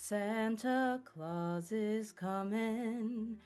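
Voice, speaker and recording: A woman's voice: fairly high pitch, extremely slow, a little mellow, clear, resonant tone, faint music behind. A woman singing a Christmas song during a live table read.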